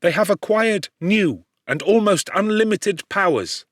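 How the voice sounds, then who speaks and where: Man voicing a dignified, eloquent professor, raised pitch. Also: animated, measured pace, fairly bright tone, dry recording, studio quality. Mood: fervent, lofty. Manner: declamatory, formal, lecturing. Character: eloquent, kindly male chemistry professor, fervent and dignified. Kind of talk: audiobook character voice.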